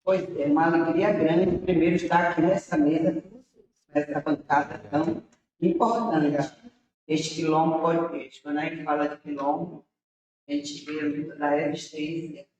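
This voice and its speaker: A woman's voice: sits low, steady tone, normal speed, a little mellow, lo-fi sound. A woman from interior Bahia, a city councilor guest telling her story to young people in an earnest, rambling way. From interior of Bahia, Brazil. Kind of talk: casual storytelling to a young audience. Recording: very echoey room, far from mic.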